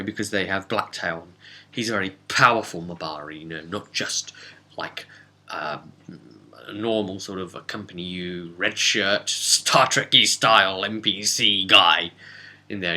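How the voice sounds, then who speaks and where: Guy game master doing a rambly story recap, lowish voice, really bright and punchy, pauses for drama. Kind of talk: casual spoken recap and storytelling.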